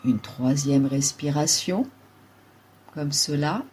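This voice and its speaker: A woman guides a relaxation meditation as a yoga nidra teacher, giving calm, measured instructions in short phrases. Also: sits low, slight background noise.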